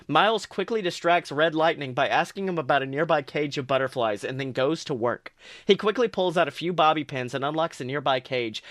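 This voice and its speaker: A male podcast host reading his fan fiction aloud in lively, dramatic narration. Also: on the high side, dry recording, clean studio sound.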